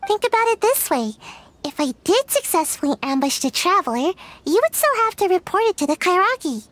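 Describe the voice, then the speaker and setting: A woman's voice: very high-pitched, sing-song, brisk, a bit bright, no echo, exaggerated voice. An actress playing a small, matter-of-fact young ninja girl with a childlike manner in an anime-style video game. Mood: calm, assured.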